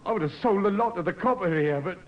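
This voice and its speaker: A crooked English mechanic in a vintage radio crime play. His voice sits on the high side, his talk is slangy and stammering as he shifts blame, and the recording is dull and muffled. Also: animated, fast. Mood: sullen confessing. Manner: colloquial, stammering under pressure. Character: defensive crooked car mechanic, blaming his partner. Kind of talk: old radio crime drama acting.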